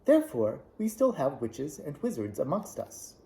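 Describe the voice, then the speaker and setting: A man voicing a kindly little old witch woman in a storybook reading, with a gentle, solemn manner, raised higher than his own voice. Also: wildly swooping pitch, normal speed, gravelly, heavily acted. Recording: echoey room.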